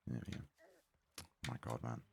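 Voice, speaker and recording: A man giving soft-spoken, casual ASMR commentary while playing a video game, muttering in a hushed voice close to the mic. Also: moderate pace, a little hiss or hum. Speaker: gaming video host.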